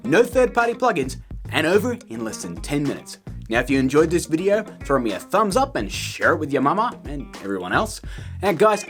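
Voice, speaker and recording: A man presents a casual, scripted software tutorial. His delivery is highly animated, swinging widely in pitch. He is cheeky and pokes fun at himself, with faint music underneath. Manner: cheeky, self-mocking, jokey asides. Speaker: host of a video-editing tutorial show.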